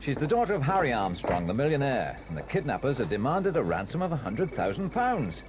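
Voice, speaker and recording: An actor with a British accent playing a hearty, fussy police inspector in an audio drama. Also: male voice, mid-range voice, very animated, brisk, lightly acted. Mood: amazed. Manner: genial, fussy, with ums. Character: hearty, kindly police inspector, middle-aged man. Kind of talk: 1980s audio drama acting.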